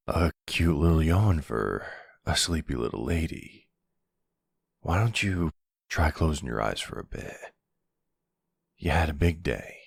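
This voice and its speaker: A man's voice: extremely low pitch, dry recording, clean studio sound, exaggerated voice. An actor playing a suave middle-aged mafia boss and devoted family man, speaking tenderly in an intimate roleplay. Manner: tender, soothing, smooth-talking. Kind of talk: intimate ASMR boyfriend roleplay acting.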